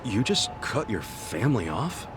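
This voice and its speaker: A man's voice: medium pitch, very animated, measured pace, background noise. An actor playing an earnest, outspoken, good-natured man in an English video game dub, casual and direct. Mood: surprised.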